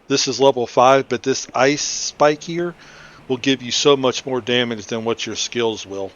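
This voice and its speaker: A man's voice: medium pitch, measured pace, a bit bright. A man giving casual, explanatory commentary as he hosts a game playthrough. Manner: informal, explanatory. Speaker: gaming video host. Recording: slightly dull audio, faint noise.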